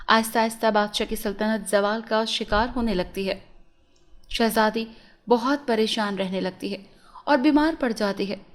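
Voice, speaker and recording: A woman reading a scripted fairy tale as a storyteller. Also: a little high.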